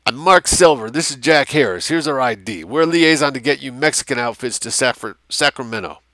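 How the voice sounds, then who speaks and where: A man's voice: medium pitch, animated, a little fast, pushed and bright, dry recording, voice pushed up. A man voicing a brash, foul-mouthed American civilian liaison in a war novel, with a folksy, bullying drawl. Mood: brisk.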